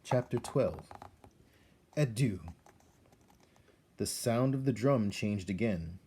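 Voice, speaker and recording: A man narrates a storybook in a low, dark voice with a husky grain. His delivery is measured and dramatic, as if drawing the listener into the tale. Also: animated. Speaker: read-aloud narrator. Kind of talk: expressive scripted storybook narration.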